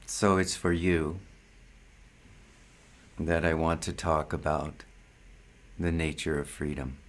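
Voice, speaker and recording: Very slow, deep-voiced male spiritual teacher. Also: fairly even intonation. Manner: measured, deliberate, short phrases with long pauses. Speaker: spiritual teacher leading satsang. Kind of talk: slow meditative spiritual talk.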